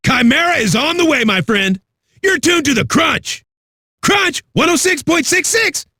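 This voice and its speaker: An actor playing a cocky, crude, manic metal radio DJ with a raspy, shouty delivery. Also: male voice, extremely high pitch, expressive, measured pace, no echo, studio quality, exaggerated voice. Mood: hyped, brash. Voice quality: raspy, gravelly. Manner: brash, profane, shouty. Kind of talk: hard rock radio DJ patter.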